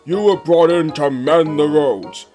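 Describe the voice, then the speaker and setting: A man voicing a stern, measured railway controller in an amateur cartoon comic dub, scolding like a figure of authority. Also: on the high side, dark tone, faint music behind, lightly acted. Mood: stern, disappointed.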